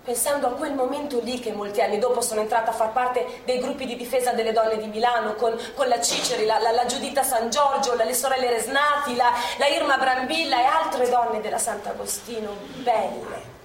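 A woman performing a lively theatrical monologue on a stage, recalling a wartime past. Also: a little high, fairly quick, noisy background. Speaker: actress in a stage play. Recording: echoey theatre from far off.

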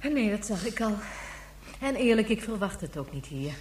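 A woman's voice: mid-range voice, very animated, moderate pace, darkish tone, natural voice. An actress playing a hopeful, inquisitive woman searching for a lost child in a radio play, earnest and questioning. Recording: echoey room with faint noise.

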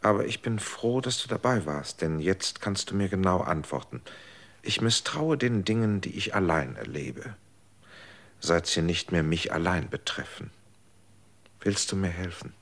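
A man's voice: sits low, animated, measured pace, exaggerated voice. An actor in a radio drama playing an anxious, probing man who cannot explain why he is still alive, speaking in a searching, insistent way. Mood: questioning. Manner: searching and insistent, swings between hushed and urgent. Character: anxious, probing man who survived his fated hour. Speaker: lead character.

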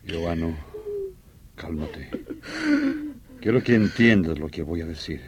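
Grave, placating man with low voice. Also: slow pace, lo-fi sound, background noise. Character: sober, disbelieving man calming a deranged friend. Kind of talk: melodramatic radio drama acting.

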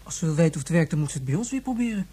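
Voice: mid-range voice, big pitch swings, racing, dark tone, breathy edge. An actor in a radio drama playing a young boy, insistent and questioning, often stammering. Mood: pleading. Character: David, young boy pleading about his departing mother.

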